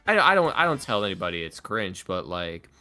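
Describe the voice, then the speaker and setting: A man chatting casually as a podcast guest, animated and halting, often repeating himself as he goes. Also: lowish voice. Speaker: podcast guest, ASMR roleplay creator and voice actor. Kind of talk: casual interview chat. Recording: music playing behind the voice. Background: background music.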